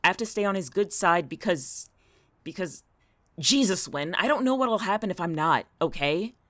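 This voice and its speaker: A stressed young woman in a naturalistic audio drama, played by an actor. Her mid-pitched voice is highly animated, guarded and irritable, with a sarcastic snap. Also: normal speed, no room sound, close to an everyday voice. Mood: tense, pleading. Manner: snappy, sarcastic.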